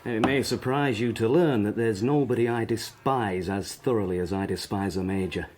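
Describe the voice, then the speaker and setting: An actor playing a polite, quietly scheming British army sergeant, deferential and measured, with a British accent. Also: male voice, lowish voice, natural voice. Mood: cold, earnest. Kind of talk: radio drama acting.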